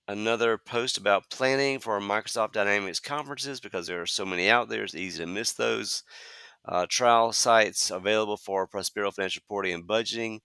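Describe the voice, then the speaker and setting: A man in his fifties hosting a solo podcast, talking conversationally with frequent "uh" fillers and a slightly hoarse voice from a cold. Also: on the low side, sharp tone, no room sound. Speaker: podcast host.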